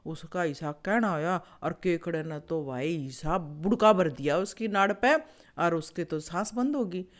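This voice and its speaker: A woman's voice: on the low side, a little slow. Folksy woman storyteller with a Haryanvi accent. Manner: conversational, repeats phrases for effect. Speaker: storyteller, show host. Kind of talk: folksy oral storytelling of animal fables.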